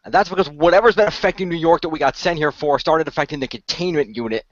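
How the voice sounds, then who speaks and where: A man's voice: on the high side, fast talker, no room sound, highs cut off. A man improvising in-character dialogue in a radio role play, explaining things in a matter-of-fact way.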